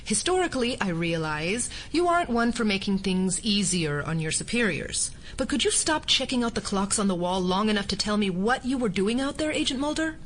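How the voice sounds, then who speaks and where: A woman's voice: mid-range voice, sing-song, brisk, few pauses, slight background noise, close to an everyday voice. An actress in an audio drama playing a stern, sardonic female assistant director, formal and reproving. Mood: dry reproach.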